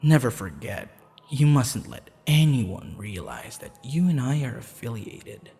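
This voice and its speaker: A smug, dangerous male assassin in love, acted by a man, murmuring intimately in an ASMR roleplay. He talks slowly with a creaky, gravelly edge, often whispering, in a long reverb. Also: mid-range voice, expressive, dark tone. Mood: warning, teasing. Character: lovestruck, menacing, smugly teasing male assassin.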